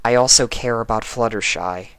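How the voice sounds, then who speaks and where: A man voicing an earnest, gentle young female pony in a conversational manner for a story reading. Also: mid-range voice, normal speed, clear, resonant tone, no echo, voice pushed up, close to an everyday voice. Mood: regretful, firm.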